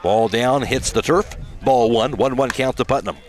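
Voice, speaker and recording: Male sports announcer, mid-pitched voice, live baseball play-by-play, short clipped phrases, radio broadcast. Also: normal speed. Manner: clipped, fragmentary call of each pitch. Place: outdoor ballpark broadcast.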